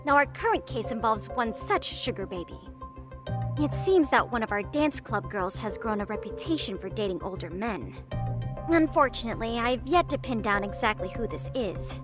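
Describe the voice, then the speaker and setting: A woman's voice: fairly high pitch, lively intonation, normal speed, lightly acted. A woman playing a self-important teenage schoolgirl who leads a detective club in a video game dub, with pompous, precise, dramatic phrasing. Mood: playful, dramatic. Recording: music under the dialogue. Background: music.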